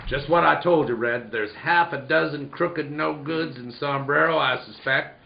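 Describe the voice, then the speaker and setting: A man playing a cantankerous old rancher father in a radio western, with a gravelly voice and grumbling rustic cowboy talk. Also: fairly high pitch, moderate pace. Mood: grateful, earnest. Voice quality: gravelly, creaky. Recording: roomy, dull, noisy recording.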